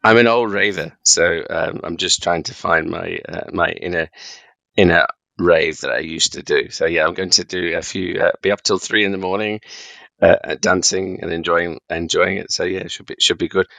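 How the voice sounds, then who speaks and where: A man's voice: low-pitched, steady tone, moderate pace, gravelly, pushed and bright, dry recording. A man hosting a podcast, joking and rambling in easy banter. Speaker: podcast host. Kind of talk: casual podcast chat.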